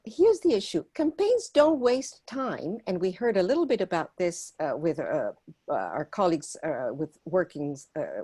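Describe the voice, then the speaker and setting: A woman from Argentina, a volunteer organizer, giving an earnest prepared talk at a meeting and pausing often on fillers. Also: mid-range voice, dark tone, husky. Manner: earnest, persuasive, with frequent filler pauses.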